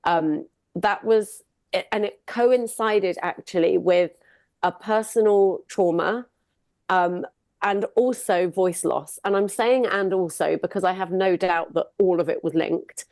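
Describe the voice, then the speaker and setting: A woman's voice: lowish voice, unhurried, a little mellow, no room sound. A middle-aged woman from the UK, a singer and sound healer, talking casually and upbeat in an interview. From United Kingdom. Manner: upbeat, chatty, laughs often.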